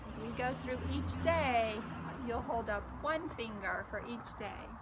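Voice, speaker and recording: A woman's voice: on the high side, noisy background. A woman hosting a children's story time, reading aloud expressively and speaking directly to young listeners. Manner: slow, expressive, addresses child listeners with questions. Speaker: storybook reader and host.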